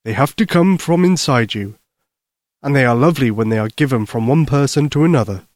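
A man voicing a jolly, kindly old Santa Claus in a bedtime story, slow, gentle and reassuring. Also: mid-range voice, expressive, no echo, clean studio sound, natural voice. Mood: gentle, confiding.

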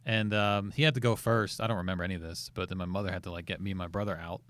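Relaxed, lowish-voiced man. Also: racing, no room sound. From United States. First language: Farsi. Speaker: guest comedian. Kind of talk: casual chat.

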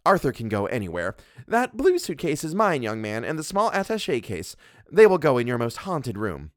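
Guy putting on a high, bossy storybook wife, haughty and scolding, pitch jumping all over. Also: wildly swooping pitch, normal speed, no room sound, studio quality. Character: imperious, fussy middle-aged woman, a spiritualist wife.

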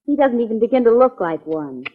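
An actress playing a playful, teasing young sweetheart of a detective in a 1940s radio drama. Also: female voice, a little high, big pitch swings, fairly quick. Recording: tinny, rough 1940s radio recording.

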